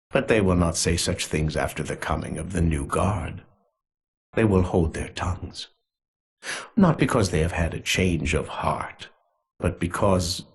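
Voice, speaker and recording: Husky, sinister conspirator villain. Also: male voice, low voice, exaggerated voice. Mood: sinister gloating. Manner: relishing, theatrical, deliberate. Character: sinister, gleefully cruel conspirator man, smugly menacing. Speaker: villain character in a video game. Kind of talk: scripted villain monologue.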